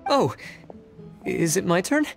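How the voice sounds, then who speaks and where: A man's voice: high-pitched, sing-song, takes it slowly, creaky, soft background music, full character voice. A man voicing a timid, literal-minded male bureaucrat in a video game, hesitant, stammering and fussily precise. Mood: nervous, halting.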